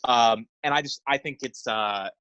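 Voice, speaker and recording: A man's voice: medium pitch, moderate pace, sharp tone, clean tone, dry recording, highs cut off. A young man co-hosting a casual film podcast, talking with enthusiasm and often repeating words as he gathers his thoughts. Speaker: podcast co-host.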